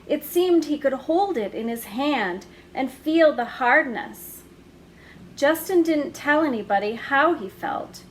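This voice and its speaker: A woman hosting a children's story hour, reading a picture book aloud in a gentle, expressive way with drawn-out emphasis. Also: sits high, a little hiss or hum.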